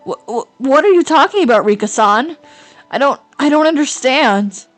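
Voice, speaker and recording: A woman's voice: high-pitched, lively intonation, moderate pace, faint music behind, voice pushed up. A woman voicing a kind, friendly schoolgirl who stammers when she is flustered. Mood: frantic. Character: cheerful kind schoolgirl, rattled and confused.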